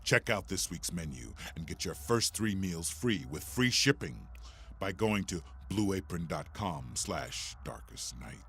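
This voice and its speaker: A man's voice: sits low, sing-song, a little slow, creaky, a bit bright, slight background noise. A man hosting a podcast, reading a sponsor ad in a chatty, scripted way. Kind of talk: chatty host-read ad. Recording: music behind the voice.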